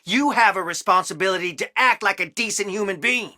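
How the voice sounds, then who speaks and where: A man's voice: high voice, brisk, pushed and bright, no echo. A young man from a well-off family, torn and wounded, in a dramatic video game. He speaks earnestly, with emotional strain, in a dry, close recording. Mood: bitter, angry.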